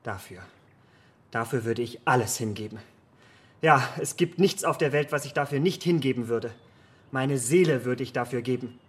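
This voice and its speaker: A man's voice: mid-range voice, normal speed, close to an everyday voice. An actor playing a vain, impetuous young man in a German radio play, speaking in a fervent, melodramatic way. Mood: yearning.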